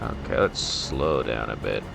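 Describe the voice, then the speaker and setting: A man's voice: very deep voice, measured pace, gravelly. A man giving casual gameplay commentary, reacting and grumbling wryly as he plays. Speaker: gamer recording a playthrough. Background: loud game music and aircraft sounds.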